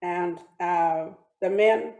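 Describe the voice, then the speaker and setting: A woman from British Columbia giving a talk with slides, speaking haltingly with many restarts and fillers. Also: fairly low pitch, gravelly, a little mellow, reverberant. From British Columbia, Canada. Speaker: guest speaker. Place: church hall or online meeting.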